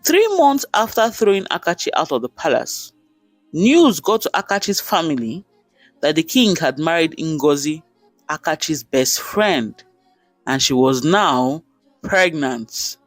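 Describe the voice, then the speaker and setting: A man's voice: on the high side, sing-song, measured pace, no echo, soft background music. A man with a Nigerian accent narrating a folktale in short, dramatic sentences. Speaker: storyteller.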